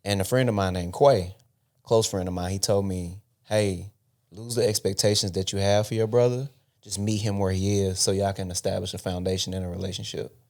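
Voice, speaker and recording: Deep-voiced man hosting a podcast. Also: steady tone, fairly quick, creaky, darkish tone. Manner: supportive, frequent affirming interjections. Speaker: podcast host. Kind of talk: casual podcast conversation.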